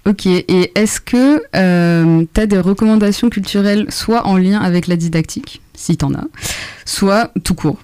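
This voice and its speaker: A young woman co-hosting a radio interview, asking casual questions in a hesitant, halting way. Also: mid-range voice, warm tone, smooth.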